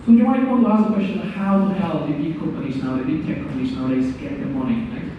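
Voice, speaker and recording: A man delivers a technical lecture in a rather high voice, stumbling and repeating words before he stresses his points. The recording is muffled and dull, and comes from a mic set well away from him. It echoes heavily around the room, with steady noise underneath. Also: fast talker. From Hungary. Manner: hesitant, repeats words, animated emphasis. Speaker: guest lecturer. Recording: echoey hall, distant mic, dull sound, background noise.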